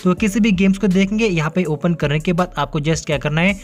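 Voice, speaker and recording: A man's voice: sits high, brisk, soft background music. Upbeat male Hindi tech reviewer. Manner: upbeat, repeats filler phrases, mixes English words into Hindi. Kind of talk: phone feature walkthrough. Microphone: off-camera voice-over over hands-on phone footage.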